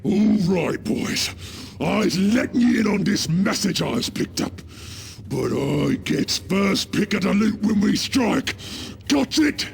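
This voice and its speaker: A man's voice: high voice, big pitch swings, slow pace, a little hiss or hum, heavily acted. A man playing a brash, bloodthirsty ork warboss in a game, with a coarse, growling Cockney voice and broken grammar. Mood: gruff scheming. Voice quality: gravelly, growling. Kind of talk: video game cutscene acting.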